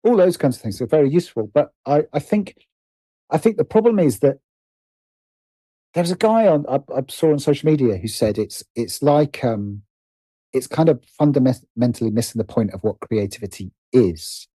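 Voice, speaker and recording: A man speaking as an interview guest in a casual, conversational way, animated but halting, often restarting and repeating phrases as he thinks aloud. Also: medium pitch, breathy edge, dry recording, poor audio. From Britain. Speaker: interview guest, writer and producer.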